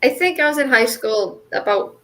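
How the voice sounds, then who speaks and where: A woman's voice: a little high, lively intonation, normal speed, sharp tone. A young woman, chatting casually and rambling as she second-guesses herself. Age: 20s. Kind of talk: casual chat on a group call.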